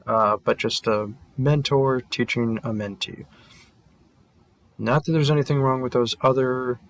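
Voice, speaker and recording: A man giving a casual outro to listeners as a voice performer, with halting phrasing. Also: medium pitch, fairly bright tone.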